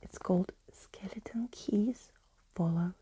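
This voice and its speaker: A woman narrating a storybook in a soft, deliberate ASMR whisper. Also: lowish voice, slight background noise. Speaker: ASMR host reading a story aloud.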